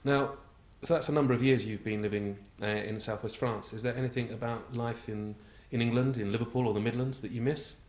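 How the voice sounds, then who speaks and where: A man's voice: mid-range voice, fairly even intonation, brisk, hardly any pauses, a little mellow, reverberant. A man interviewing a guest, prompting him with leading questions and short affirmations. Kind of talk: conversational interview.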